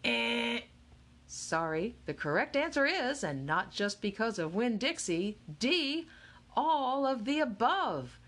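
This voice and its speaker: A woman voicing an eccentric older male game maker, a playful showman with crisp, rat-a-tat speech in a storybook reading. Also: medium pitch, very animated. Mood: showy, excited. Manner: starts timid and slow, then crisp and rapid-fire.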